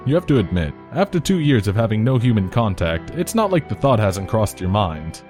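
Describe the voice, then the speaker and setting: Casting: male story narrator, low register, casual and expressive, light music underneath. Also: a little fast. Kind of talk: second-person fan fiction narration.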